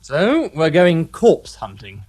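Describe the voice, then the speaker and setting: A man's voice: fairly high pitch, huge pitch swings, slow, darkish tone, heavily acted. An actor playing a skeptical male police inspector with a dry, sardonic manner in a radio drama. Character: wry, skeptical middle-aged male detective.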